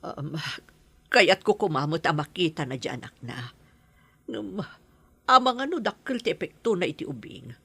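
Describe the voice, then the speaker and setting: A worried middle-aged mother played by an actress with a husky, low voice and an Ilocano accent. Her audio drama delivery moves expressively up and down. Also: sing-song, moderate pace, fairly bright tone, no echo, heavily acted. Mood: anxious, gentle. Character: worried mother guarding her adopted child.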